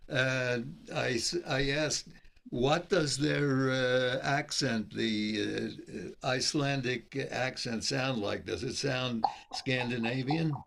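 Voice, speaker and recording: Male caller, hesitant and slow, gravelly voice over a noisy line. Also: mid-range voice, steady tone, a bit bright. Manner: slow, hesitant, repeats his question. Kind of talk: casual chat. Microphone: video call. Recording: noisy call audio.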